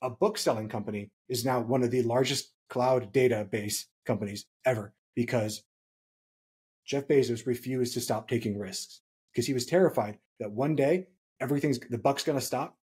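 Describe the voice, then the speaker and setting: A man in his thirties with a slightly husky voice, co-hosting a podcast and explaining points emphatically, repeating key phrases for stress. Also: mid-range voice, moderate pace, darkish tone. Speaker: podcast co-host. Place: small home room, indoors.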